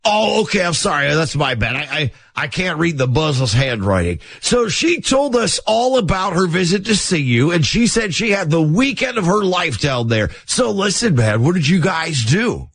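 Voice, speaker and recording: A man putting on an overexcited male rock-radio DJ making a prank call, with shouty, falsely cheerful patter and a husky voice. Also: a little high, big pitch swings, normal speed, hardly any pauses, no echo, voice pushed up, heavily acted. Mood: chummy. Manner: hyped, shouty announcer patter.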